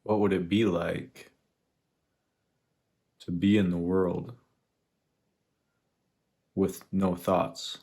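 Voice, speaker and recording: A man leading a guided meditation, speaking in an unhurried, reflective way with long pauses between phrases. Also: low voice, level tone, vocal fry, a little mellow. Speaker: meditation guide, spiritual teacher. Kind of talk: guided meditation, contemplative teaching.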